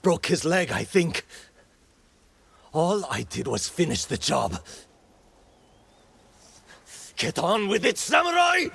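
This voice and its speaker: An actor playing a guilt-laden but defiant, battle-worn rebel fighter in a video game, with a husky, gravelly voice. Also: male voice, a little high, wildly swooping pitch, measured pace, a bit bright, full character voice. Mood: bitter defiance. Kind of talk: dramatic video game cutscene acting.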